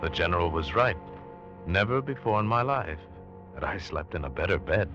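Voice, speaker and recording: A man's voice: low-pitched, animated, over music. An actor playing an intense, hunted American adventurer, telling his story tautly and dramatically in 1940s radio style. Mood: dry, satisfied. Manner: dramatic, taut, deliberate storytelling. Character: hunted American man, intense, grimly determined adventurer narrating. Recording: noisy, dull 1940s radio recording.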